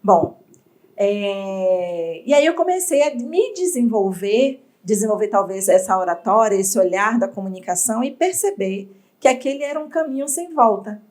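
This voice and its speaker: Woman, entrepreneur, interview guest, reflective, mid pitch. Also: animated, moderate pace, a little mellow. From Bahia, Brazil. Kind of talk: interview storytelling.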